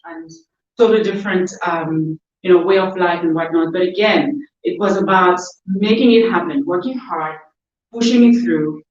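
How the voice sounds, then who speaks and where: A woman's voice: mid-range voice, slight background noise. A woman from DR Congo speaking as an interview guest, telling her own story conversationally, often saying "you know" and "whatnot". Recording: echoey, distant, dull poor sound.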